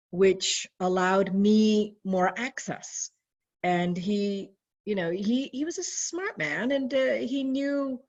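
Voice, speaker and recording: A woman raised in Canada telling personal stories in a chatty way, with lively quoted voices and frequent restarts. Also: mid-range voice. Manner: chatty, animated when quoting, frequent restarts. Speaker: webinar guest. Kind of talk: casual storytelling recollection. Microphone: video call. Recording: dull lo-fi video call audio.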